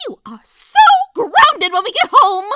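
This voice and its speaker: A woman's voice: very high-pitched, big pitch swings, unhurried, bright, forceful tone, no room sound, studio quality, exaggerated voice. A woman voicing a dramatic, genteel fashionista pony mother with an affected, theatrical manner. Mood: scandalised. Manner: theatrical, affected, easily scandalised. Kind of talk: animated fan audio drama.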